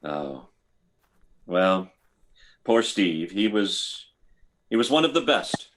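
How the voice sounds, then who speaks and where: Male actor playing measured military medic, lowish voice with lively swings, vintage sci-fi radio drama. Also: full character voice. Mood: rueful, musing. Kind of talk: 1950s radio drama acting.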